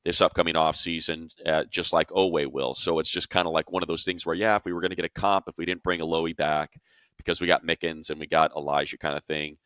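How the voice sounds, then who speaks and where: A man's voice: a bass voice, level tone, quick pace, a bit bright, no room sound. An American man giving casual sports analysis as a co-host, thinking aloud conversationally and checking in as he goes.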